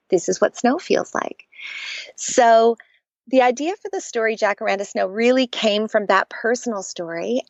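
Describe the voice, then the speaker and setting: A middle-aged Canadian woman talks about her work in an earnest, storytelling way. Her voice sits at a medium pitch, and the recording sounds dry and a little muffled. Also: no room sound. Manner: warm storytelling, earnest and animated. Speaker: guest author. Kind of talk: conversational podcast interview.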